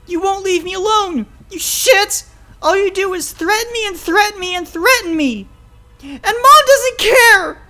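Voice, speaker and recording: A man voicing an angry, defiant young animal boy in a dramatic story, yelling and tearful with a cracking voice. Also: very high voice, voice pushed up, exaggerated voice. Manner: foul-mouthed, yelling, voice cracking.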